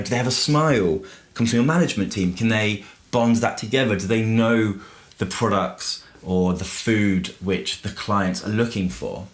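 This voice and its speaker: A man giving an informal review in an explanatory way, using everyday examples and questions. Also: lowish voice. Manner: explanatory, uses everyday analogies and rhetorical questions. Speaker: book reviewer and software business owner. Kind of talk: informal book review to camera.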